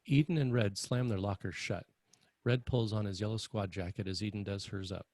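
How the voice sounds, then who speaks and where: Male table-read narrator: deeper, husky, dry sound, scripted delivery of stage directions. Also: moderate pace, a little mellow, no echo.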